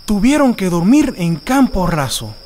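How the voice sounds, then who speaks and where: A man with a Latin American Spanish accent playing a storyteller, giving scripted storybook narration for an animated folktale. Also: high voice, unhurried, slight background noise. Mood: storytelling.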